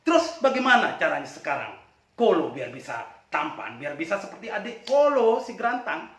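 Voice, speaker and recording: A man's voice: sits high, big pitch swings, normal speed, fairly bright tone. A man voicing an ugly, big-mouthed young man who plaintively and emphatically protests to the gods in a folk tale. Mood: questioning. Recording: echoey room, distant from mic.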